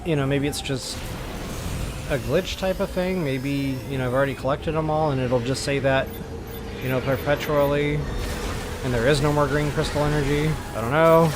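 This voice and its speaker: A man's voice: medium pitch, fairly even intonation, normal speed. Casual male game streamer, noisy recording. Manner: rambling, folksy slang. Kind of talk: casual live gameplay walkthrough commentary.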